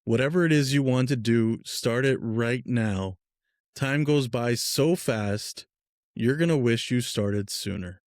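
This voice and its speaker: A man from Peru hosting a casual podcast interview, relaxed and upbeat, talking loosely with fillers. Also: medium pitch, measured pace, no echo, studio quality. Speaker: podcast host.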